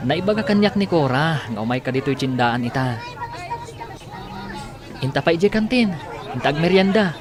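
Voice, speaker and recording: A man's voice: on the high side, lively intonation, normal speed, pauses often, natural voice. A man acting a persistent young suitor in a radio drama, speaking in a coaxing way. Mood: eager, coaxing. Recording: crowd chatter behind the voice.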